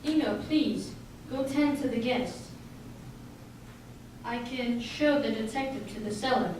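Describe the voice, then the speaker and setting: Woman as courteous gentleman host, echoey and distant. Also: a little high, a little slow, lightly acted. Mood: polite. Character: helpful, courteous, smooth male party host. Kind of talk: amateur radio play acting. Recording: very echoey room, distant mic, faint hiss.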